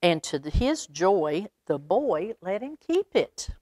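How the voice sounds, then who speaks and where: Woman reading a storybook, unhurried pace. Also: fairly low pitch. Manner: expressive, chatty asides to young listeners. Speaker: library storytime reader. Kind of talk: children's picture book read-aloud with asides.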